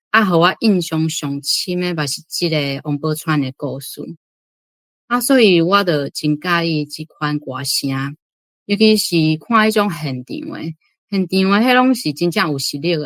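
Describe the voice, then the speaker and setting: Low-pitched Taiwanese woman, dry recording. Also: a bit bright, no room sound. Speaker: reading club host, guest commentator. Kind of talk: informal reflective talk.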